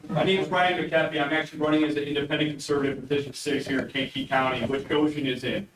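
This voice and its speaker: Loud man asking questions from afar. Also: fairly high pitch, level tone, fast, crowd noise. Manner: loud, pointed. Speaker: audience member and local candidate. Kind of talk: public question. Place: public meeting hall. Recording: distant, echoey, noisy room.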